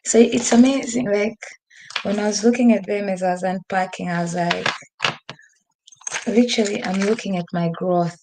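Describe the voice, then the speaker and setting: A woman's voice: mid-range voice, steady tone, moderate pace, pauses often, background noise. A woman hosting a casual live stream from home, chatty and rambling with frequent laughs, saying she is losing her voice. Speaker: podcast host. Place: newly moved-into home, desk by a window. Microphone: computer microphone.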